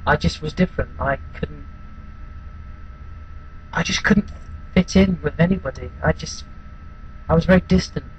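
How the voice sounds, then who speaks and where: Guy acting a hurt loner in a monologue, quiet and halting, keeps hesitating, slight background noise. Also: fairly high pitch, highs cut off, lightly acted. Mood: subdued, pained. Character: withdrawn, wounded outcast recalling being bullied at school. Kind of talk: dramatic monologue.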